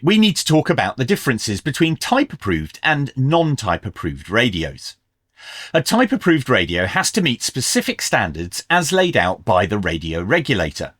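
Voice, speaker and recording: A man presenting a scripted review in a measured, explanatory and clearly enunciated way. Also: mid-range voice, a bit bright, dry recording, clean studio sound. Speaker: presenter of a radio review video channel.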